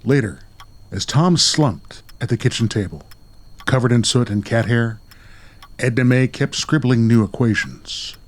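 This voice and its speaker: A man's voice: mid-range voice, unhurried, a little hiss or hum. A man working as host and narrator of a radio play, moving between storytelling and casual talk.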